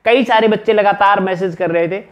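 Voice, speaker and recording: Male coaching teacher, thirties, high-pitched voice, instructive and emphatic, explaining to students. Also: brisk. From northern India. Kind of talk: explanatory exam-prep lesson at a whiteboard. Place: small indoor room with whiteboard.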